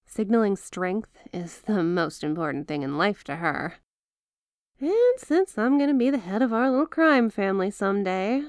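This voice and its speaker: A woman playing an apologetic, lovestruck young mafia heiress in an intimate ASMR roleplay, talking in a hesitant, conversational, softly teasing way. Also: mid-range voice, no echo, studio quality, close to an everyday voice.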